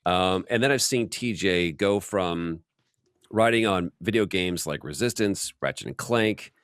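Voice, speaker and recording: A man with a low voice hosts a relaxed workshop interview. His delivery is informal, chatty and animated, like someone swapping stories. The sound is dry, with very little room on it. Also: a little slow, clear, resonant tone, dry recording. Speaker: host.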